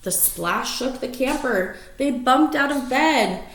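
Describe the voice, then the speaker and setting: A woman reading a rhyming picture book aloud to young children as an animated storyteller, stretching key words and adding asides and questions for the listeners. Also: sits high, slow pace. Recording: roomy echo, faint background noise.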